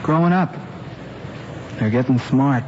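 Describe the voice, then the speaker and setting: A man's voice: mid-range voice, unhurried, close to an everyday voice. An American man acting an earnest, mild-mannered teenage boy chatting in a 1950s radio drama. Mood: rueful. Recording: muffled old radio recording, noisy background.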